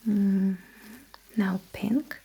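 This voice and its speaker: A woman whispering in an ASMR roleplay as a technician, giving gentle, slow instructions in short phrases with a breathy, mostly unvoiced voice. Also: mid-range voice, fairly even intonation. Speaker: ASMR performer role-playing a sci-fi technician running calibration tests.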